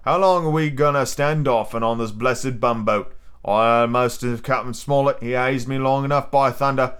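A man's voice: medium pitch, steady tone, moderate pace, a bit bright, clear, resonant tone, close to an everyday voice. A man reading an audiobook, voicing a surly, impatient pirate coxswain in grumbling sailor talk. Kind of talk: audiobook character acting.

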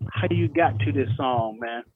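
A man's voice: medium pitch, normal speed, breathy edge. A man hosting a casual radio chat, informal and often repeating his phrases. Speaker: radio host. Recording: thin phone-like radio sound.

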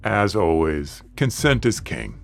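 A man hosting a solo podcast, talking in an earnest, reassuring and plainspoken way while hoarse from a cold and losing his voice. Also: fairly low pitch, big pitch swings, takes it slowly, faint music behind. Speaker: podcast host.

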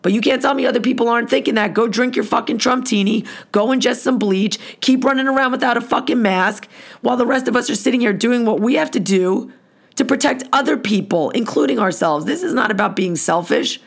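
Hoarse woman host, gravelly, blunt and foul-mouthed, heated rant delivery. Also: a little high, fairly quick. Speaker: podcast host. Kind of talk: angry profane rant.

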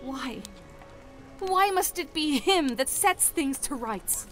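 A woman's voice: sits high, lively intonation, slow pace, soft background music. An actress playing a fiery young elf woman in a fantasy audio drama, with a pointed, challenging manner. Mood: frustrated. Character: fiery, defiant young elf woman burdened by unwanted power.

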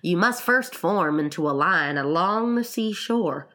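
A woman voicing a gruff, blunt male gryphon from a storybook who butts in and gets excitable. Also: fairly low pitch, a little slow. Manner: blunt, interrupting, shouting.

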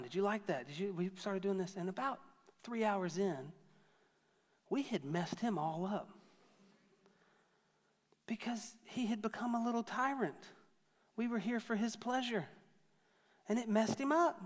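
A man's voice: high-pitched, big-room sound. A man in his thirties preaching a sermon to a church, conversational and storytelling with self-deprecating humor. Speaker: pastor.

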